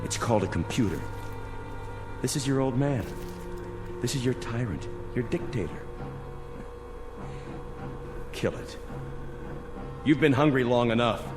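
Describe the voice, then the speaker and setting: Actor playing a domineering, animated male rebel leader. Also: mid-range voice, moderate pace, pauses often, natural voice. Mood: triumphant, furious. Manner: domineering, taunting, oratorical, barking orders. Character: ruthless commanding armed male stranger inciting starving villagers to revolt. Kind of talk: 1960s television drama acting. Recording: old television soundtrack with loud music.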